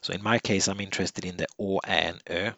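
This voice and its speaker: A man from Sweden, a native Swedish speaker, talking through a software setup step by step, halting often with fillers and restarts. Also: low-pitched, steady tone, gravelly, no echo, dull audio. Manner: explanatory, halting with frequent "uh" and restarts. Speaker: software tutorial presenter. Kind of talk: unscripted screen-recording walkthrough.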